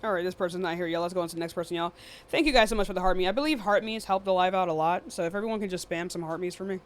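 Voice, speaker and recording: A woman's voice: on the low side, very fast, slight background noise. A woman hosting a live debate stream in a casual, blunt, dismissive and often profane way. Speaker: livestream debate host.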